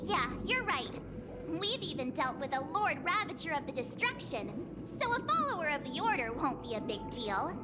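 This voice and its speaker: A small, excitable mascot companion from a video game. The voice is extremely high and childlike, with very animated swings in pitch. It sounds inquisitive and expressive, with loud music underneath. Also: female voice, normal speed. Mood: confident.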